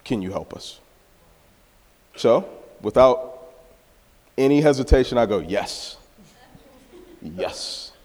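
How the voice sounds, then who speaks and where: A man, a church planter, telling stories casually on a panel, animated and full of "like". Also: medium pitch, slow, pauses often. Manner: animated storytelling, filler "like". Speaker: church planter and football coach. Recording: reverberant hall.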